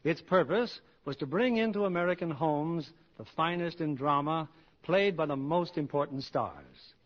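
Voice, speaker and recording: A man's voice: a little high, normal speed. A man hosting and narrating a 1940s radio drama in a formal, polished showman's manner, reading from a script. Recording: dull old 1940s radio broadcast.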